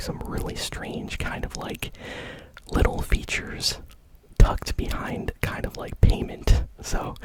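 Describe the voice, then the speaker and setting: Guy whispering gaming news asmr style, all breathy no real voice, casual chatty host. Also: poor audio. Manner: casual, informal, conversational.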